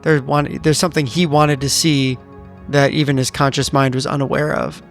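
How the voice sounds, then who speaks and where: A man playing a tabletop game, narrating his character in a measured, earnest, slightly hesitant way. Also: medium pitch, level tone. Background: soft music.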